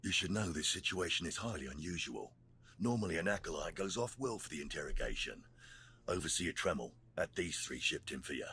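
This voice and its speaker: An actor playing a gruff, blunt, menacing Sith jailer in a video game. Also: male voice, low-pitched, animated, a little fast, sharp tone, exaggerated voice. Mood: businesslike, blunt. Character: gruff, menacing male Sith jailer running slave pits. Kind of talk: scripted video game dialogue. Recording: music behind the voice.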